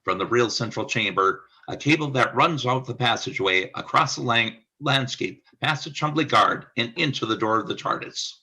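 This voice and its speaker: A man's voice: mid-range voice, animated, normal speed, few pauses, sharp tone, husky, rough recording. A man narrating a scripted radio drama, reading out the scene descriptions.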